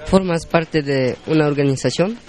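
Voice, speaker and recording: A man's voice: on the high side, normal speed, darkish tone, slight background noise. A man working as a radio interviewer, asking brief, direct questions.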